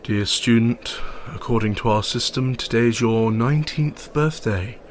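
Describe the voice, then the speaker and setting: An actor voicing a formal, impersonal university message in an audio drama, detached and official. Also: male voice, medium pitch, measured pace, a little hiss or hum, close to an everyday voice. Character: impersonal university system issuing formal greetings.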